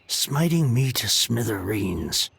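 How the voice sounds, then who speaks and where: A man's voice: medium pitch, sing-song, takes it slowly, vocal fry, a bit bright, slightly rough, full character voice. An actor playing a bitter, debt-ridden book collector in a video game, theatrical and exasperated as he talks. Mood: indignant, despairing.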